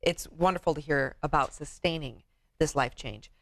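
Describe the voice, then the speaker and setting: A woman's voice: deep voice, normal speed, no room sound. A woman hosting an upbeat TV interview segment in a chatty, affirming way. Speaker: TV show host.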